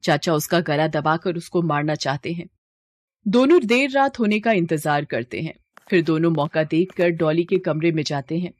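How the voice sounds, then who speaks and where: A woman's voice: low-pitched, moderate pace. A woman narrating a scripted moral story as a storyteller.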